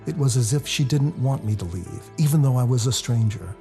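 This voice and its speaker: A man reading a novel aloud as a first-person dramatic narrator, in a confessional, expressive storytelling manner. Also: medium pitch, fairly quick. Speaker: author reading their own novel. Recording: music under the voice. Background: music.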